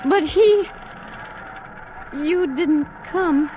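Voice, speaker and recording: An American woman acting a frightened, fragile young woman with halting speech, finding quiet courage in a 1940s radio drama. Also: high-pitched. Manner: halting, hesitant. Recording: muffled, noisy vintage radio recording.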